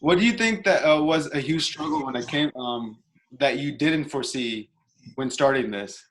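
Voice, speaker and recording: A man's voice: mid-range voice, normal speed, fairly bright tone. A man chatting casually as an interviewer, a little hesitant as he searches for words. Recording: faint background noise.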